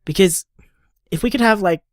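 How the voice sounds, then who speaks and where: A woman's voice: medium pitch, moderate pace, dry recording, clean studio sound, natural voice. A woman playing a caring, stubborn, sweary partner in an audio drama, talking in an emphatic, coaxing, informal way. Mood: hesitant, bargaining. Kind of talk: naturalistic audio drama monologue.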